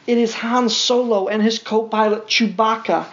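A woman's voice: fairly high pitch, fairly even intonation, moderate pace. A woman reading a storybook aloud to a young child, as a parent would, with simple asides and questions. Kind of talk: read-along storybook narration with spontaneous asides to a child. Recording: dull home recording with faint noise.

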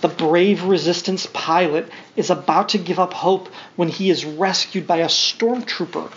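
A woman reading a storybook aloud to a young child, as a parent would, with simple asides and questions. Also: medium pitch. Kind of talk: read-along storybook narration with spontaneous asides to a child. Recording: dull home recording with faint noise.

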